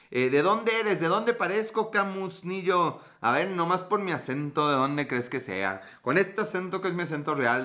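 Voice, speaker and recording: Mexican host, 30s, casual live chat, swears and jokes, bright tone. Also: male voice, fairly high pitch. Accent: Mexican Spanish. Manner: joking, profane, playful. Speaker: podcast host. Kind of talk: casual live-stream chat reading comments.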